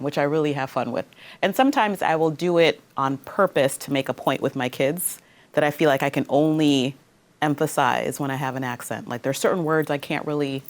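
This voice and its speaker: A woman from Guyana, an interview guest who speaks articulately and laughs easily. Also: sits low. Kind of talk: casual interview.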